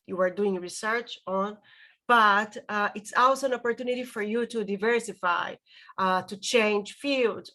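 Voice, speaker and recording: A woman's voice: medium pitch, bright, forceful tone. A woman from Brazil with a Brazilian Portuguese accent, a legal writing instructor giving a webinar presentation, often hesitating and repeating words.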